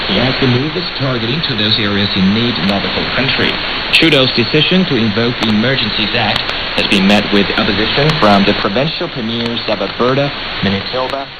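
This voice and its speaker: Low-voiced newsman under loud shortwave hiss. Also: dull audio. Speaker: news anchor. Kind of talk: scripted news reading.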